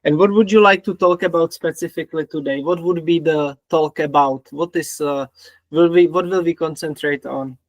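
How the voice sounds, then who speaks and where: Czech-accented man hosting, slightly high voice. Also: steady tone, moderate pace, no echo. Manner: repeats himself, simple phrasing. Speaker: podcast host. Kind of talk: casual interview talk.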